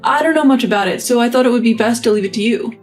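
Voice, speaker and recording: A woman's voice: on the high side, rapid-fire, soft background music, lightly acted. A woman voicing a passive, indifferent young husband who talks in an offhand, shrugging way.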